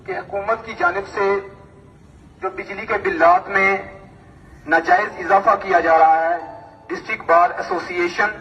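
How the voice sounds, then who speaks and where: A distant, reverberant loudspeaker carries a man's formal mosque announcement with music behind it. His voice is high, and he declaims in measured, short phrases. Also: fairly even intonation. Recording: muffled, echoing mosque loudspeaker from afar, music.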